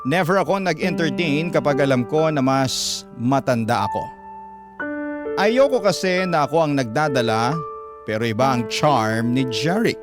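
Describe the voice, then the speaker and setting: Filipino guy radio storyteller, scripted narration, average pitch, music bed clearly behind. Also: lively intonation, normal speed. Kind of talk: first-person scripted narration of a love letter, classic radio style.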